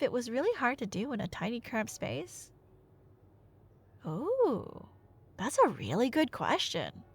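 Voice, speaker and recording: A woman plays a chatty, nerdy girlfriend in an ASMR roleplay, telling stories with rambling enthusiasm. Her voice is fairly high and swings widely in pitch, with a playful bossy streak. The sound is very dry, with no room echo. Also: heavily acted. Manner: rambling, animated, tangent-prone, mock-indignant.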